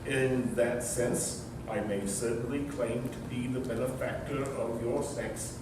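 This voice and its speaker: An actor playing a wry, formal bachelor uncle in a stage play reading, choosing hesitant and courtly words. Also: male voice, medium pitch, steady tone, natural voice. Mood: wry, halting. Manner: formal, hesitant, deliberate wording. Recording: echoey noisy hall from far off.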